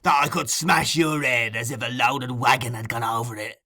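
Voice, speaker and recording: Man acting a brutal, forceful housebreaker thug. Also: mid-range voice, steady tone, moderate pace. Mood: threatening. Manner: forceful, blustering threats. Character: brutal, menacing male housebreaker with a short temper. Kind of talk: audiobook character acting.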